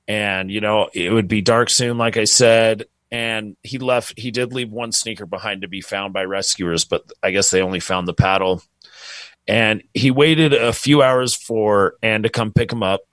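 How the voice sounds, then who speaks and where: A man's voice: on the low side, dry recording. Casual man telling a story. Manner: relaxed, rambling, swears freely. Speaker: podcast co-host telling a true crime story.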